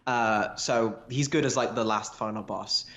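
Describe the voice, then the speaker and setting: A man's voice: fairly low pitch, unhurried, a bit bright. A man in casual analytical fan discussion as a podcast co-host, explaining as he thinks aloud, with some swearing. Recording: echoey, roomy sound.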